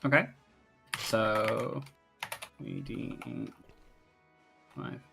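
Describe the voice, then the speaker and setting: A man's voice: on the low side, wildly swooping pitch, drawn-out words, creaky, over music. A man running a tabletop fantasy game as game master, talking casually and narrating to the players.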